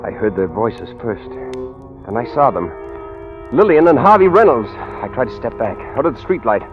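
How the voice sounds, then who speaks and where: A man's voice: medium pitch, very animated, natural voice. An American man playing an anxious fugitive in a 1940s radio thriller, confiding and nervous. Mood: tense, confiding. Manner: nervous, halting when addressing others. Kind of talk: 1940s American radio thriller acting, first-person narration and dialogue. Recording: tinny old radio recording, music behind.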